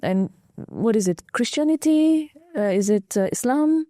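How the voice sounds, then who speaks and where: Curious woman interviewer with a mellow voice. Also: on the high side, expressive, measured pace, dry recording, clean studio sound. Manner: curious, restarting questions. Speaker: interviewer host. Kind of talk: conversational interview.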